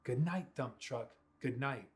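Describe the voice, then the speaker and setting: A young man reading a children's storybook aloud in a playful, expressive way with drawn-out words. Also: mid-range voice. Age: young adult. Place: small furnished room indoors.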